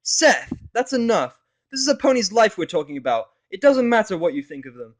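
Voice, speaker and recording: Brash, headstrong pony girl, bossy and righteous, high forceful delivery male actor, for fan audio drama. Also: sing-song, a little fast. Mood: indignant. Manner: forceful, scolding, exclamatory. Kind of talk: fan fiction audio drama character acting.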